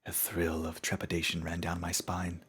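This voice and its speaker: A man giving a dramatic, scripted narration of a story in a solemn, measured storytelling manner. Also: sits low, monotone, a bit bright, slightly rough.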